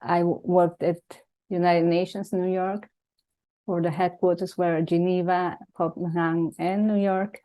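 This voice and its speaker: A woman with a mixed Venezuelan Spanish accent, Italian by first language, hosting a reflective conversation over a video call and searching gently for her words. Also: on the low side, level tone, measured pace. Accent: Spanish, Venezuelan mix. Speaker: host. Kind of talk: unscripted heartfelt conversation.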